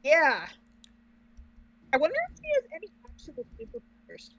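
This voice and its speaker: A woman's voice: sits high, huge pitch swings, moderate pace, fairly bright tone, no room sound, lo-fi sound. A woman co-hosting a reaction video, chatting casually with dry, joking asides.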